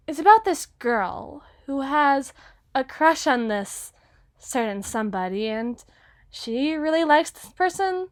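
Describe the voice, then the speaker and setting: A woman's voice: sits high, no room sound, clean studio sound. A woman playing a gushing, obsessively lovestruck teenage girl writer in an ASMR roleplay monologue, flustered and rambling.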